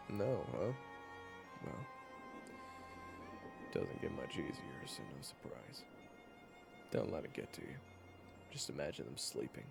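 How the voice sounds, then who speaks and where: A man acts out a hard-bitten hunter for hire in a fantasy audio roleplay. The voice is low and gravelly and swings widely in pitch. The delivery is brusque and sardonic, with faint music behind. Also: full character voice. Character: gruff, impatient, blunt hired killer-hunter named Raven.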